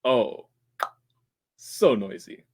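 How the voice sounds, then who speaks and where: A suave, scheming doctor from an old-time radio thriller, performed by a man. The delivery is very slow and drawn out, swinging widely in pitch, with theatrical courtesy covering sly calculation. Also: animated, slightly rough, heavily acted. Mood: silkily pleased. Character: suave, scheming male doctor who hires killers.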